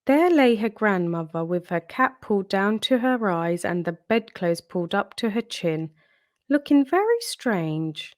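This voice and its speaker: Very lively woman reading a storybook. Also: mid-range voice, a little slow, no room sound, studio quality. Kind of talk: expressive children's storybook narration.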